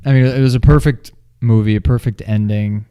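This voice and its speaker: A man's voice: mid-range voice, fairly even intonation, fairly quick, warm tone, clear, resonant tone. A man co-hosting a movie review in loose, casual chat, often hesitating as he thinks aloud.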